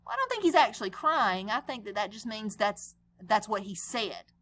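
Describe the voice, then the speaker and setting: A woman's voice: medium pitch, animated, moderate pace, fairly bright tone. A woman reading a picture book aloud as a teacher would, chatting conversationally to young listeners with questions and explanations. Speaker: read-aloud storyteller and teacher.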